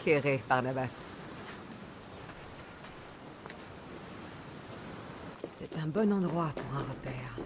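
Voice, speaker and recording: A woman's voice: a bass voice, expressive, moderate pace, background noise. A woman playing a tough, dry-witted young Greek mercenary in a video game, curt and sardonic.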